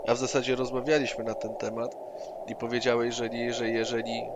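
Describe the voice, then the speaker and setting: A man's voice: mid-range voice, flat delivery, brisk, highs cut off. A man improvising in-character dialogue as a player in an online tabletop role-playing session. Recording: online session with loud background noise.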